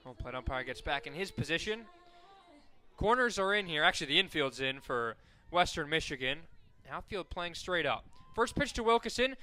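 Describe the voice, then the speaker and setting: An American man calling live softball play-by-play as a radio announcer, conversational and ready to lift with the action. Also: fairly high pitch, moderate pace. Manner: conversational between plays, excited calls on action.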